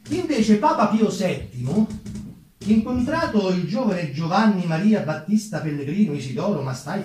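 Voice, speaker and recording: A man's voice: sits high, a little mellow. A man with a Roman Italian accent tells history theatrically to an audience, with colloquial, ironic asides. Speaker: stage narrator, solo theatre performer. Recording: very echoey room, distant microphone.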